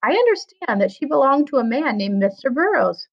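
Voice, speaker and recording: A woman voicing a pleasant, gossipy old gentleman with a genteel, conversational way of talking in a storybook reading. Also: sits high, very animated, brisk, vocal fry, highs cut off, heavily acted.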